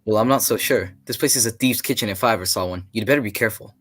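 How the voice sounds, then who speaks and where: A man playing a hearty, cautious, avuncular English gentleman in a 1940s-style radio drama. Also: on the low side, fast. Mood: cautious, grumbling.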